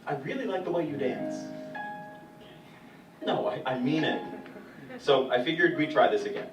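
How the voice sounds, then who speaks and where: A man's voice: fairly high pitch, animated, normal speed, close to an everyday voice. A man playing a direct, probing stage director who questions an auditioning dancer in theatrical dialogue. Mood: probing, encouraging. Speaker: stage actor in a school or youth theatre production. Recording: roomy stage, distant mic, music behind.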